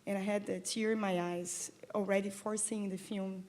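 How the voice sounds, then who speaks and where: A woman co-producer answering on a panel in an earnest, halting way, often restarting her sentences. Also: medium pitch, little pitch movement, darkish tone. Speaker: film co-producer.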